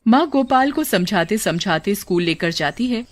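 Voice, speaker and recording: A woman's voice: medium pitch, lively intonation, moderate pace, no echo. A woman reading a scripted children's story as narrator.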